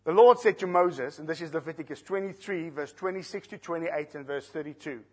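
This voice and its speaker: A man, an Afrikaans speaker, teaching a sermon verse by verse, slowing down to stress key words and putting questions to the congregation. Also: a little high, darkish tone, slightly rough. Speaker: preacher. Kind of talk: expository Bible teaching.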